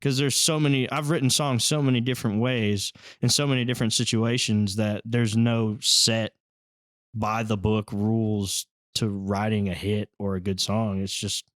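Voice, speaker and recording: A man's voice: on the low side, level tone, normal speed, no room sound, clean studio sound. A man from Texas, a band's lead singer talking in a casual, modest, plain-spoken way as a podcast guest. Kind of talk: casual chat.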